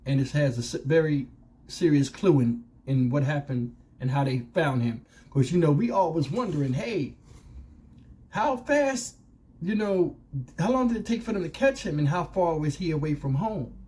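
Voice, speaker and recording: A man's voice: on the high side, lively intonation, moderate pace, warm tone. A man giving casual opinion commentary as a channel host, speaking colloquially and conversationally with rhetorical questions.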